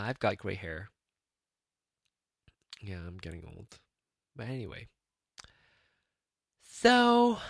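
Man, late thirties, solo podcast host, rambling casual chat, lively swooping pitch, dry close sound. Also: no room sound. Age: 30s. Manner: chatty, rambling, laughs often.

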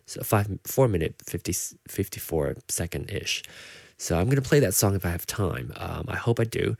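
Low-voiced Malaysian man, casual chat. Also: expressive, normal speed, creaky, a little mellow, breathy edge, no echo. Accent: Malaysian English, partly Americanized. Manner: rambling, with many fillers and restarts. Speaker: podcast host.

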